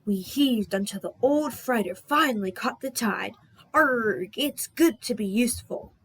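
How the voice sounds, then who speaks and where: A woman's voice: on the high side, expressive, measured pace, slight background noise. A woman voicing a hearty male dockyard diesel who talks like a jolly old pirate sailor in a children's storybook. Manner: jolly pirate-style exclamations and sea talk. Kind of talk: children's storybook read-aloud.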